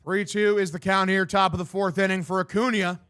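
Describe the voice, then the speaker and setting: A young man in his twenties with a distinctly high voice for a male. He streams baseball commentary mixed with casual chat, in loose, slangy language with plenty of swearing and a bro-like way of addressing people. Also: flat delivery, fairly quick, a bit bright, smooth. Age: 20s. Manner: profane, slangy, bro-like talk. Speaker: sports streamer calling a baseball game and answering chat.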